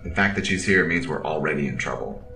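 A stoic, battle-hardened military officer in an audio drama. The voice is low and deadpan, every answer terse and literal, sounding roomy and set back from the mic. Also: fast talker, far from the mic, soft background music, exaggerated voice. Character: stoic, deadly, loyal veteran military officer.

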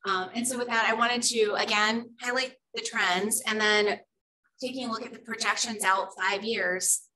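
A woman presenting program data at a school board meeting, steady and measured, with frequent ums. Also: on the high side, poor audio. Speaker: school district English learner program presenter.

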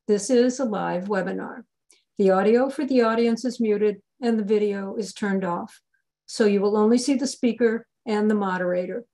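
An older woman delivers a prepared welcome as the head of a library group. Her pitch is mid-range for a woman. She speaks over a video call, introducing an online event. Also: dark tone. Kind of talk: scripted welcome announcement.

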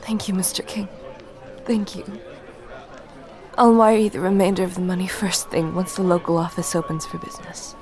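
An actress playing a grieving, determined daughter in an old-time radio noir drama, earnest and dramatic. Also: female voice, on the low side, level tone, normal speed, long pauses, a crowd in the background, close to an everyday voice. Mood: subdued, sad. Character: grieving, determined daughter, female detective's client.

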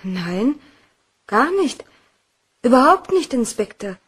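A woman's voice: on the high side, very animated, takes it slowly, a bit bright, slightly rough, heavily acted. An actress playing a polite, slightly uneasy young female office worker in a crime radio play, answering carefully. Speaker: actor.

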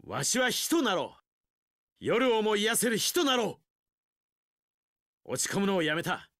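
Bright, earnest young samurai hero. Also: male voice, high voice, very animated, measured pace, no room sound, clean studio sound, full character voice. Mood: gentle resolve. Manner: earnest, heroic, declarative. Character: sunny, resolute young samurai general preaching bonds. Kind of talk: anime-style action game voice acting.

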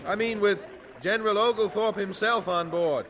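Earnest young preacher, formal, high voice. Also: male voice, moderate pace, fairly bright tone, a little hiss or hum. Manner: polite, earnest, formal English. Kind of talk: radio drama acting.

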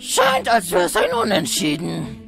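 A woman voicing an excitable, kindly creature trainer in a cartoon fandub, theatrical and exclamatory. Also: a little high, measured pace, creaky, music in the background, heavily acted. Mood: friendly.

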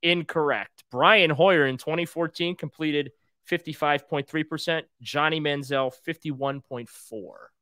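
A man's voice: on the high side, unhurried, bright, forceful tone, smooth, dry recording. A young man co-hosting a sports podcast from home, earnestly reading out statistics while nearly losing his voice. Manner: earnest, reading stats, defensive. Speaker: podcast co-host. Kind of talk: casual sports podcast banter. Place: small home room with display shelves. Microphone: earbuds and desk microphone on video call.

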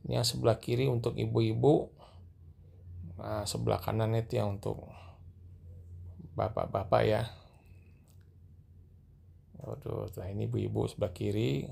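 A middle-aged man narrating a walking tour through a large mosque and onto its rooftop at night, gently addressing his viewers as he films. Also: on the low side, flat delivery, unhurried, creaky, pauses often, husky. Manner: gentle, repeatedly addressing viewers as sir and madam. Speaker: vlogger guiding viewers. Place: large mosque, indoor escalators then open rooftop at night.

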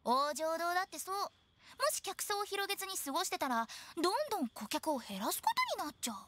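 A woman voicing a playful, pushy teenage girl funeral director in a Japanese anime game, with animated sales patter. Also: extremely high pitch, fast, background noise. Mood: confident, explaining. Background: game music.

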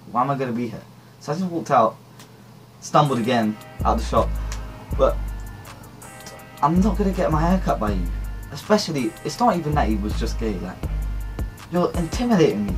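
Teen male vlogger, chatty and slangy, faint music behind, bedroom on camera mic. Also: mid-range voice. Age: teens. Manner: chatty, animated hand gestures, slangy. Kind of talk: casual storytime to camera. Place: small cluttered bedroom indoors.

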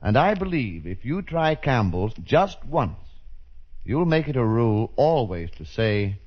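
A man reading a 1930s radio advertisement as announcer, with measured, polished salesmanship. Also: medium pitch, big pitch swings. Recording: dull 1930s radio recording.

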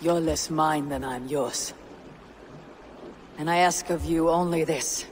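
An actress playing a Viking woman warrior and clan leader, speaking in a measured, earnest way to her people. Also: low voice, steady tone, slight background noise.

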